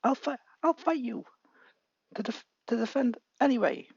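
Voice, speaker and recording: A man voicing a timid, earnest young boy hero who gets excited easily. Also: very high voice, moderate pace, gravelly, husky, dry recording, dull audio, pitched above the performer's own voice, exaggerated voice. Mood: pleading.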